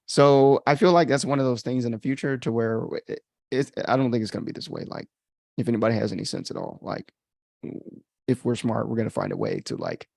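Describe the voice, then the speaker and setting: A man's voice: mid-range voice, sing-song, a little fast, gravelly, darkish tone, no room sound, studio quality. A man chatting informally as a podcast host, rambling through his commentary with frequent filler words and some mild swearing. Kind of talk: casual chat, commentary.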